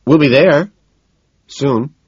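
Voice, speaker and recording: A man's voice: a little high, takes it slowly, breathy edge, dry recording, muffled recording, voice pushed up. A man voicing an anxious, self-absorbed young yuppie man with a nervous, emphatic delivery, in an audiobook. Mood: evasive.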